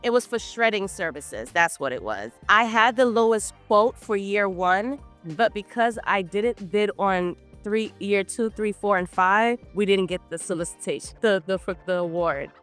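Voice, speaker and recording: A woman from Barbados, a guest in a casual business chat, telling her story in a relaxed, matter-of-fact way. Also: mid-range voice, moderate pace, hardly any pauses, soft background music.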